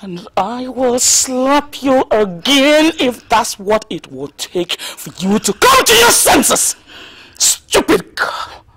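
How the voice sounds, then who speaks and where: A woman's voice: sits high, very animated, slow, a bit bright, slightly rough, full character voice. An actress playing a stern widowed mother, forbidding her daughter a romance in a melodrama. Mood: outraged. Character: stern widowed mother, middle-aged, bitter and commanding. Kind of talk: melodrama acting.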